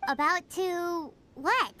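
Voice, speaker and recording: A woman voicing a tiny, chirpy fairy girl companion in an anime game, with a squeaky childlike voice and perky, exaggerated delivery. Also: slow pace, background noise. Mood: curious, sheepish. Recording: game music loud behind voice. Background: loud game music.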